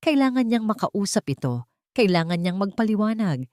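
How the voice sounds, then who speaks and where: A woman's voice: medium pitch, very animated, moderate pace, darkish tone, no echo, clean studio sound. A woman with a Filipino accent reading a scripted dramatic story as narrator.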